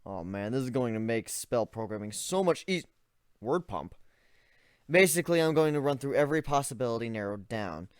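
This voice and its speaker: A man's voice: mid-range voice, expressive, fairly quick, clear, resonant tone, no room sound, close to an everyday voice. Man reading as a weary, analytical young griffin, dry sound. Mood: focused, explaining. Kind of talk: dramatic reading of first-person fan fiction.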